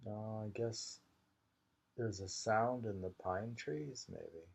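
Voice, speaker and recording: A man reading haiku slowly and commenting on it casually, in an unhurried, musing way. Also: fairly low pitch, vocal fry, slightly rough. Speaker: reader of a haiku anthology. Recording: dull lo-fi home recording.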